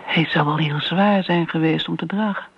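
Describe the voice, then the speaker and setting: Een actrice die in een hoorspel een oudere, keurige tante speelt, ontwijkend en sentimenteel, met een ingehouden stem. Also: female voice, deep voice, moderate pace. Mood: vague, prim. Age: older. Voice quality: hushed, creaky. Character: elderly genteel aunt, evasive, sentimental, prim. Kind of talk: radio play acting. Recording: muffled, noisy old radio play recording.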